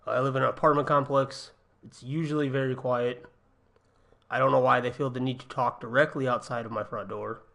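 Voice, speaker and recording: A young man with a slightly raspy voice from a cold, chatting casually through a gaming livestream from his apartment, thinking aloud with ums and uhs. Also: mid-range voice, fairly even intonation, fairly quick, a bit bright. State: getting over a cold, a little raspy. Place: home apartment.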